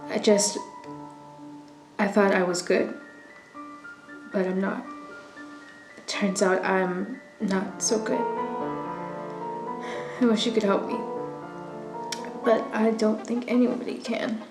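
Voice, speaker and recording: An actress playing a desperate, lonely, nervous young woman in an audio drama, with halting, emotional delivery. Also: medium pitch, little pitch movement. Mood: lonely, despairing. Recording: roomy recording under loud music.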